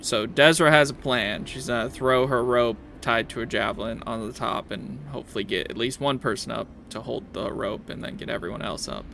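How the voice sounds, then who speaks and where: A man acts as game master, talking through rules and describing scenes off the cuff. His voice sits at an ordinary middle pitch. There is faint noise behind him. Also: fairly quick, gravelly, few pauses, fairly bright tone. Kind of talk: improvised tabletop game narration and rulings.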